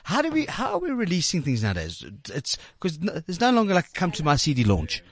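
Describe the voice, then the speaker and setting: A man's voice: sits high, wildly swooping pitch, fairly quick, no echo, clean studio sound. A man with a South African accent hosting a casual radio interview, often repeating words as he goes. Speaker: radio show host. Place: radio studio.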